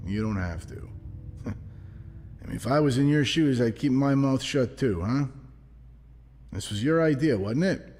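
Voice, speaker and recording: An actor playing a hard-boiled, sardonic police detective pressing a suspect in a noir audio drama. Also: male voice, mid-range voice, animated, a little slow, pauses often, darkish tone. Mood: taunting. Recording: reverberant, clear music underneath.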